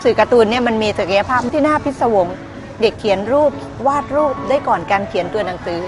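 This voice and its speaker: A woman's voice: a little high, animated, fast talker, over music. A woman explaining informally as an interviewee.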